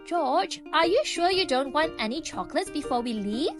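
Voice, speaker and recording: Woman as a high, lilting, friendly storybook man. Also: animated, moderate pace, over music. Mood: caring. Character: friendly, caring man, companion of a little monkey. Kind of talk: storybook character voice.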